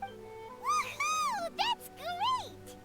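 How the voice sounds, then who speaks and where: A woman voicing a small, childlike fairy companion in a video game, with a squeaky voice and an exclamatory, fretful manner. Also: big pitch swings, very slow, exaggerated voice. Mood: excited. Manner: refers to self in third person, exclamatory. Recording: loud background music.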